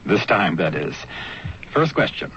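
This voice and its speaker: An actor playing an officious, teasing, jovially patronizing examiner in a comic 1970s radio commercial. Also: male voice, low voice, big pitch swings, measured pace, fairly bright tone, husky, full character voice. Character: smoothly teasing, officious male test examiner in advert. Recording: dull lo-fi old radio recording with noise.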